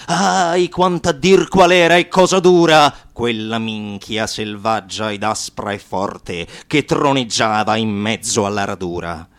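A man's voice: fairly low pitch, fairly even intonation, unhurried, hardly any pauses. A man reciting satirical verse in a declamatory, mocking, theatrical way. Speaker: actor and narrator.

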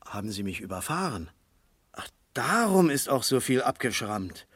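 An actor playing a shaken suspect who haltingly denies his guilt in a 1970s German radio crime drama. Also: mid-range voice, very animated, a bit bright, husky, no echo. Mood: dazed, shaken. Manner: halting, bewildered, repeating himself. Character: shaken, remorseful male drunk-driving suspect denying his guilt.

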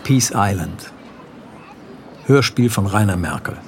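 Slow, gravelly man announcing a radio play. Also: on the low side, lively intonation, slight background noise. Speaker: announcer of stage directions and credits.

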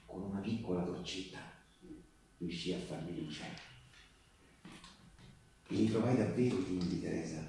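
A male actor playing an earnest partisan and postal worker, telling his wartime story in a halting, conversational stage monologue. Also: deep voice, creaky, warm tone, slight background noise. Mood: hushed, suspenseful. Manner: conversational, halting, with rhetorical tags and repetitions. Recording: echoey live theatre, distant microphone.

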